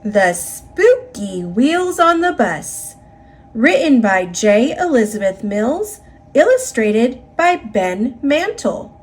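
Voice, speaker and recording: A high-pitched woman with an American accent reads a picture book for young children. She takes it very slowly, with expressive, deliberate phrasing and a rhythmic storytelling delivery. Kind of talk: read-aloud children's story narration, partly sing-song.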